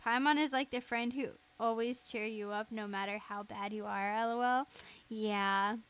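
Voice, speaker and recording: A woman's voice: on the high side, fairly even intonation, moderate pace, fairly bright tone, clean tone. A woman chatting casually on a game livestream in a giggly way, reading out chat as she goes. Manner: giggly, reads chat aloud. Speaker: game streamer.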